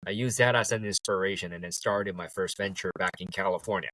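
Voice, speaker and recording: A man, a guest talking in a relaxed way and laughing easily. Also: low-pitched, fast, slight background noise, poor audio. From Taiwan. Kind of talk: casual interview.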